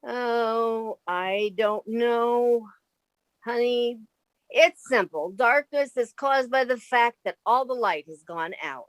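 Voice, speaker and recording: A woman's voice: a little high, takes it slowly, poor audio. A woman playing a sensible, exasperated young roommate in a radio comedy. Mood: weary, exasperated. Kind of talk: 1950s radio sitcom reading.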